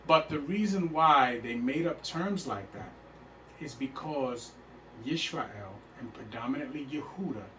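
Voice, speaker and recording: A man's voice: mid-range voice, animated, a little slow, echoey room. A man teaching a religious class, emphatic and repeatedly checking that his listeners understand. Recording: faint hiss, speaker far from mic.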